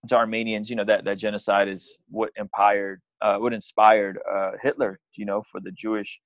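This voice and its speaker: A young man from Azerbaijan talks casually as a podcast guest. His voice sits low and the sound is dry and close. He rambles, restarts sentences and keeps filling gaps with "you know" and "like.". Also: flat delivery, normal speed, no room sound. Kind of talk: casual interview chat.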